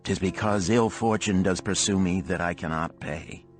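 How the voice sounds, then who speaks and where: A man's voice: low-pitched, moderate pace, soft background music, lightly acted. A man voicing a timid, penniless young debtor in an audiobook parable, mumbling weak apologies. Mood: meek, apologetic.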